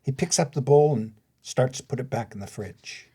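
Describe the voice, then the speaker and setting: Husky male stage-direction narrator. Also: mid-range voice, normal speed, mellow. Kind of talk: scripted narration for an audio play.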